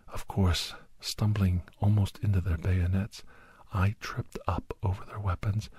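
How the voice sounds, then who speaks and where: Man playing a low, whispery war storyteller. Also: a little mellow, dry recording, full character voice. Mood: tense, grim. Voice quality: breathy, husky, often near whisper. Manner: halting, short phrases, solemn and intense. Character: doctor recounting a harrowing battle beside his young ward. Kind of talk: dramatic first-person ASMR storytelling.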